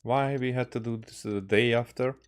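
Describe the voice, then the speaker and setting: A man from Hungary with a Hungarian accent, giving casual gameplay commentary as a streamer, musing aloud in a halting way. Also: mid-range voice, steady tone, smooth. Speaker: game streamer.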